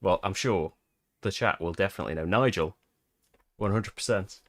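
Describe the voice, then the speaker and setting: A man's voice: sits low, moderate pace, no room sound, studio quality. Chatty British man streaming a board game. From Britain. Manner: chatty, self-deprecating, laughs often. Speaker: board game streamer hosting a live playthrough. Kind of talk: casual live-stream chat.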